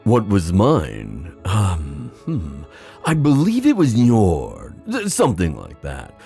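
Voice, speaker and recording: Guy playing a wistful theatrical sea god for asmr fantasy roleplay, slow and super swoopy, musing pauses, music behind. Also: low-pitched, huge pitch swings, gravelly, dark tone, exaggerated voice. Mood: pensive. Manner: hesitant musing pauses, amused chuckles, drawn-out phrasing.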